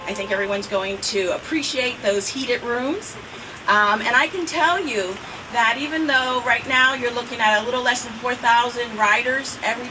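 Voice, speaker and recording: An American woman giving an upbeat, conversational public speech as a state politician. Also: on the high side. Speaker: state assemblywoman. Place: outdoors in rainy cold weather. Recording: distant mic, outdoor rain noise.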